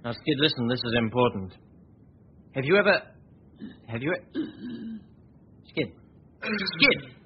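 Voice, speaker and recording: An actor playing an urbane, sardonic amateur detective in a 1940s radio drama. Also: male voice, a little high, sing-song, slow pace, long pauses, slightly rough, exaggerated voice. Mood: pressing. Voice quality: ironic, urbane. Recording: muffled old radio recording with faint hiss.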